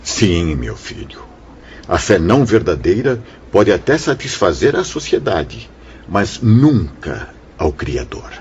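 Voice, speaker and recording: A low voice of a kindly Brazilian spiritual elder brother figure, paternal and sermonizing. The delivery rises and falls widely in radio drama fashion, on a slightly muffled recording. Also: male voice, sing-song, slow, darkish tone, heavily acted. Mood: encouraging. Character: fatherly male spiritual mentor, kindly, encouraging, wise. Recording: dull lo-fi audio, background noise.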